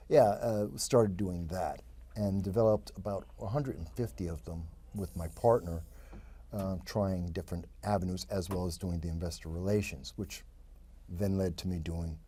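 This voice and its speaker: A man in his fifties from New York, a guest telling his life story in a lively, rambling way full of quick asides. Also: low voice, husky. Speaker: interview guest. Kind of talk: casual interview storytelling.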